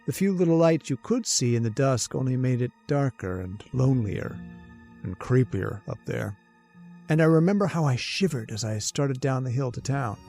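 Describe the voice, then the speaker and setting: A man's voice: mid-range voice, darkish tone, lightly acted. An actor playing a nervous, haunted man who narrates his story in an old-time radio horror drama, jittery and hesitant. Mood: eerie, shivery. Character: nervous, haunted, centuries-old male professor confessing a murder plan.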